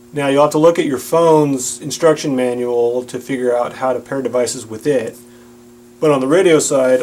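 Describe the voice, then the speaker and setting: A man's voice: medium pitch, a little mellow. A man giving a plain-spoken, instructional product demonstration. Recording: audible background noise with hum.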